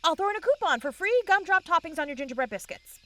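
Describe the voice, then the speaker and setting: A woman's voice: very high voice, very animated, fast, bright, forceful tone, full character voice. A woman playing a perky, scheming young woman who sweet-talks and dodges in a comedic fantasy audio drama. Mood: sweet-talking.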